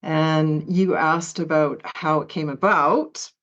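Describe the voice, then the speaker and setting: A woman with a very low-pitched voice talks casually as an interview guest, chatty and quick to laugh, with plenty of ums along the way. The recording sounds dull and lo-fi. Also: steady tone, fairly bright tone. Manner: chatty, laughs often, hesitant fillers. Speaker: author guest. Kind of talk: conversational interview.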